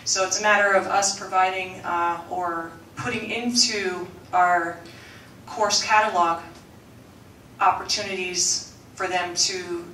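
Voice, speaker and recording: A woman with a bright, forceful, slightly low voice gives a formal school presentation. She has a loud coach's voice that she holds back for the microphone, and she restarts her phrases often. The recording is roomy and picked up from some distance, with faint background noise. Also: fairly even intonation, takes it slowly. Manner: loud coach's voice, softened for the mic; earnest, with frequent restarts and fillers. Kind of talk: formal presentation at a board meeting. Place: school board meeting room. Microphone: room microphone. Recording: echoey room, mic at a distance.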